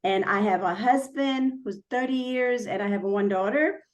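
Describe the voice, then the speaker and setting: A woman's voice: on the high side, moderate pace. A woman from Korea, Korean her first language, a city councilwoman giving an earnest campaign speech with many fillers and restarts.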